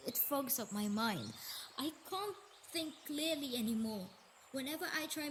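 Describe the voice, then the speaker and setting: Actress as ailing Earth goddess: higher female voice, gentle, hesitant, emotionally raw audio drama, faint birdsong ambience. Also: a little hiss or hum. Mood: anguished, sickly. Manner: tender, hesitant, emotional, breaking into whispers. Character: sickly Mother Earth goddess, gentle, motherly, wounded, anguished. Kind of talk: scripted intimate audio roleplay monologue. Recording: nature ambience with birdsong behind voice.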